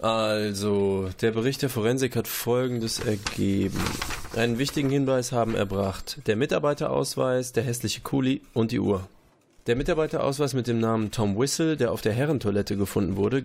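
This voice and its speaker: Man, gravelly, reading aloud, dry sarcastic asides. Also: lowish voice, moderate pace, darkish tone, slight background noise. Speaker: player reading a forensic report. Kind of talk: reading aloud in a tabletop game.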